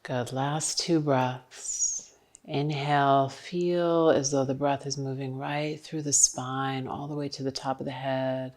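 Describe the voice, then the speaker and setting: A woman yoga instructor guiding breathing and stretches with calm, encouraging, short cues. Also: extremely low pitch, slow. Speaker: yoga instructor leading a class.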